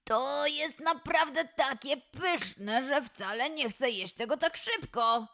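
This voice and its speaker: A woman voicing a playful, dawdling little boy lion cub in a children's audio story, with a whiny, expressive manner. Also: sits high, slow, exaggerated voice. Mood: dreamy, playful.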